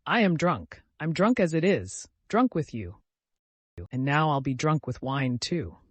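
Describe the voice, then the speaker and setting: A man's voice: on the high side, big pitch swings, unhurried, no echo. A man voicing a drunk, lovestruck young man. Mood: heated.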